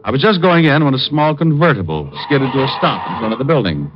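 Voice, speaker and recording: An actor playing a wisecracking private detective who narrates, dry and laconic, in a 1950s radio drama, on a muffled old recording with music. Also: male voice, medium pitch, lively intonation, quick pace, lightly acted. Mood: narrating.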